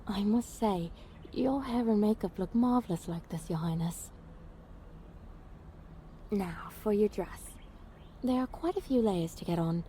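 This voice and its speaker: A woman's voice: mid-range voice, unhurried, pauses often, dark tone, natural voice. A woman playing a cheerful, dutiful royal maid in a fantasy audio roleplay, deferential and helpful. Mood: cheerful, attentive. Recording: faint background noise.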